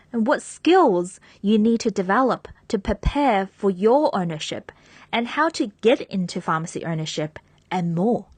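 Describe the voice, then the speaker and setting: A woman's voice: mid-range voice, no echo. A woman hosting a podcast, reading an upbeat introduction and asking her guest questions. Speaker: podcast host.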